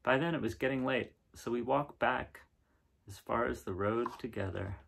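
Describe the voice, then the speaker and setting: A man in his thirties reading a picture book aloud in a casual, unhurried way with pauses between phrases. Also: medium pitch, vocal fry, fairly bright tone, slight background noise. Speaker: reader of children's picture books. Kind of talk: gentle read-aloud storytelling and casual chat. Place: small living room indoors, seen on camera.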